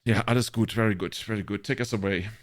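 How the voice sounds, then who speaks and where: A man's voice: lowish voice, steady tone, fast talker, a little hiss or hum. A man co-hosting a casual podcast chat, rambling and joking, with many filler words. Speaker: podcast co-host.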